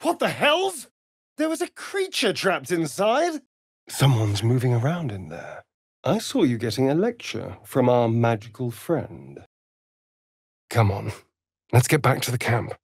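A man's voice: medium pitch, very animated, unhurried, breathy edge, no echo, full character voice. An actor playing a vain, sly male vampire rogue in a video game, with a British accent and an arch, melodramatic, catty delivery. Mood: exasperated. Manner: arch, drawling, melodramatic, dry wit. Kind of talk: dramatic video game acting.

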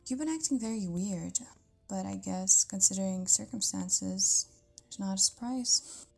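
A woman acts out a tender, protective partner in an intimate audio roleplay. Her voice is husky and breathy, with plenty of creak. She speaks softly and conversationally, hesitating and sometimes slipping toward a whisper. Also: mid-range voice, a little slow, soft background music. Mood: concerned, reassuring. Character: caring, tender live-in partner of an amnesiac lover.

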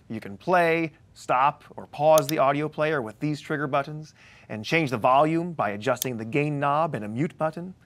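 A man's voice: fairly high pitch, slight background noise. A man, presenting scripted instructional narration in a conversational, wry and emphatic manner. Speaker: training presenter.

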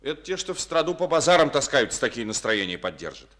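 An actor playing a firm, probing district party secretary in a studio radio play, questioning and rebuking in a calm manner. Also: male voice, mid-range voice, fast talker. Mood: stern. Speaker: party official.

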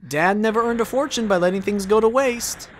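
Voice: mid-range voice, a little fast, very noisy, pitched above the performer's own voice, natural voice. A performer voicing a wry, confident, sarcastic young boy hero in a video game dub.

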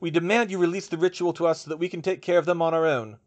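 An angry male dignitary, put on by a man reading a story, his pitch pushed high. He snaps out demands and accusations. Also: fairly even intonation, very fast, lightly acted. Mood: angry, demanding. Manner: snapping, accusatory.

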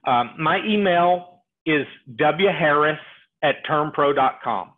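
A middle-aged man presenting a live software tutorial, explaining things step by step over a headset on a video call. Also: on the high side, lively intonation, slow pace. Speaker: webinar presenter demonstrating software. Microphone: earpiece headset on a video call. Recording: tinny, phone-like webinar audio.

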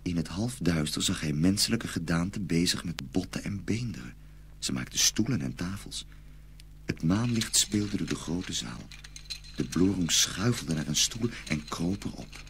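A man tells a dark fairy tale as a dramatic narrator. His voice is extremely low, with a heavy creaky grain. Animal-like ambient noise is clearly audible behind him. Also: animated, moderate pace.

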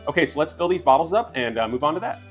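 A man in his thirties, a plant operator, talking through sampling steps in a relaxed way with lots of ums and restarts. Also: fairly high pitch, very fast, faint music behind. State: slightly raspy from a cold. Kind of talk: instructional demonstration. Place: outdoors by trees.

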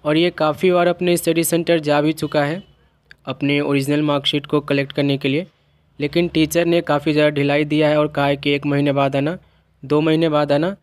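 A man's voice: a little high, little pitch movement, fairly quick, dry recording. A man explaining things to viewers in a vlog, repeating and rephrasing his points as he goes. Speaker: YouTuber helping open-school students.

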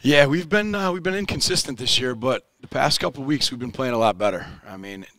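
A man in his forties, a high school basketball head coach, talking in a post-game interview in an upbeat, plain-spoken way. He often repeats words as he restarts a thought. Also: animated, brisk, fairly bright tone, no room sound. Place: indoor studio set in front of a backdrop. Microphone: headset mic.

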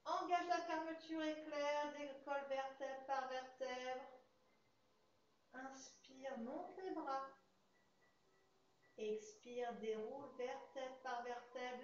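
A woman's voice: high voice, slow pace. English-accented woman coaching Pilates in an echoey studio. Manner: calm, counts breaths while demonstrating. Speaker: Pilates instructor. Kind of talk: guided exercise class. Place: large fitness studio with hard floor, indoors. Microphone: far camera. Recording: echoey studio, dull sound, faint hiss.

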